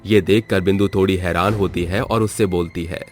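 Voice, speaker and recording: A man's voice: low voice, fairly even intonation, normal speed, soft background music. A man narrating a scripted moral story as its storyteller.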